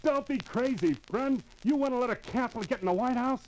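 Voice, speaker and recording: An actor playing a loudmouthed bigoted man who pushes his opinions on others, in 1940s radio style. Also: very high-pitched, fairly quick, poor audio. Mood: scornful. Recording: old radio recording with noise.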